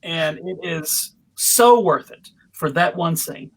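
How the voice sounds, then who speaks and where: A panelist with a middling pitch talks casually about films. The delivery is halting and exploratory, as if working out each thought aloud. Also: expressive, fairly bright tone, a little hiss or hum, rough recording.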